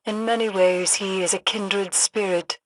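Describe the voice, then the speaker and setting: Game role: stern ancient woman seer played by an actress, solemn and cryptic, unhurried delivery, dry close sound. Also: mid-range voice, fairly even intonation, no room sound, natural voice, poor audio. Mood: solemn, measured. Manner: solemn, cryptic, formal phrasing. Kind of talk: video game voice acting.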